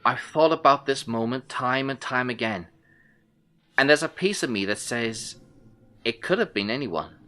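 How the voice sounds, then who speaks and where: An actor playing an intent, unsettled male detective, recounting his case in brooding, deliberate first-person audio drama narration. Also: mid-range voice, animated, a bit bright, lightly acted.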